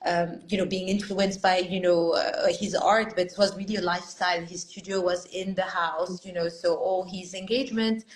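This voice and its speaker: A woman's voice: fairly low pitch, fairly even intonation, normal speed, hardly any pauses, echoey room. A woman in her fifties from Morocco, a guest telling her life story in a reflective interview, with many "you know" fillers. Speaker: art fair founder, guest. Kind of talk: conversational interview.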